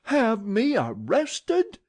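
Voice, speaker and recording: A man voicing an indignant old woman who bursts out in shrill protest, in a storybook reading. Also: big pitch swings, moderate pace, a little mellow. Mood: outraged. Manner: shrill, explosive outbursts.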